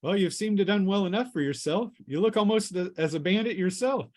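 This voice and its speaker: A man's voice: high-pitched, a little fast, a little mellow, pitched above the performer's own voice, close to an everyday voice. A man voicing a friendly, burly village blacksmith with a folksy, avuncular manner in a tabletop fantasy game. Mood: surprised, familiar. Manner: folksy, avuncular, old-fashioned phrasing.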